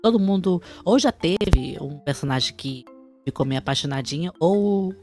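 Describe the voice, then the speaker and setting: A woman's voice: lowish voice, lively intonation, moderate pace, darkish tone. A young woman with a Brazilian accent hosting a casual explanatory chat, with music under her voice. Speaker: video host.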